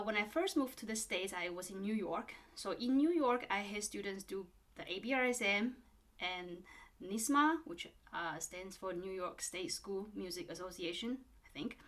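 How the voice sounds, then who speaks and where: A middle-aged woman, a piano teacher, talks casually to her viewers. Her manner is friendly and explanatory, and she fills her pauses with "um" and "uh" as she goes. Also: mid-range voice, a bit bright. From born in Taiwan, grew up in New Zealand. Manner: friendly and explanatory, with frequent fillers. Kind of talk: casual talk to camera answering a viewer question.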